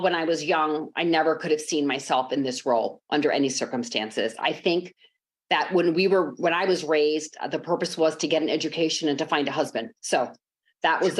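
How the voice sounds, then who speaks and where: Low-voiced woman telling her life story. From Chicago, United States. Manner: earnest, reflective, many ums and uhs. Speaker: guest, sports executive.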